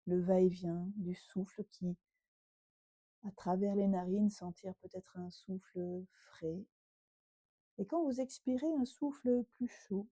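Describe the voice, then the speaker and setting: A woman yoga teacher leading a guided relaxation meditation in a gentle, unhurried, instructing way with pauses. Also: mid-range voice, no room sound.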